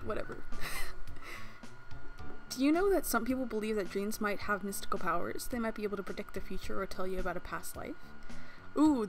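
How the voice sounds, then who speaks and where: A young woman hosting a let's play, reading a visual novel aloud and joking between lines with a playful, giggly manner and casual swearing. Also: on the high side. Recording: voice with game music behind. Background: game music.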